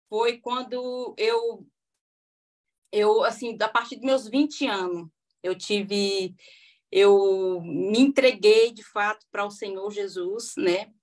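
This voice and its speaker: A woman's voice: mid-range voice, slow. Heartfelt hesitant woman in her forties. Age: 40s. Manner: hesitant, many restarts, heartfelt. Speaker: podcast guest. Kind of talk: testimony in a casual interview.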